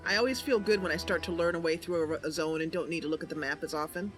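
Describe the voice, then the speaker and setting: A woman's voice: sits low, fast. A woman doing casual, chatty and enthusiastic commentary as she streams a game. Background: faint music.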